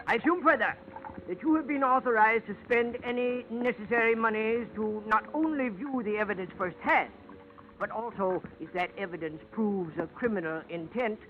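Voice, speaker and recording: A dull, hissy old radio recording carries a genial, long-winded salvage expert. His voice sits high for a man, and he delivers grand, formal flourishes with pompous relish. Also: normal speed. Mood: businesslike, grand. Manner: pompous, florid, formal, chuckling. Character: genial, verbose deep-sea salvage expert, fond of flourishes. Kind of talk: 1950s radio drama acting.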